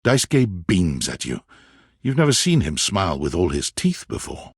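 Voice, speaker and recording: A man playing a wry, dramatic storyteller who narrates a romance video game in measured second person. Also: sits low, huge pitch swings, husky, dry recording, clean studio sound, heavily acted.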